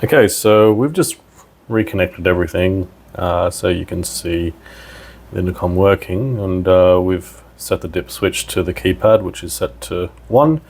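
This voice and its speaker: A man's voice: deep voice, measured pace, a little mellow. A man giving a casual, plain-spoken step-by-step technical demonstration as a tutorial presenter.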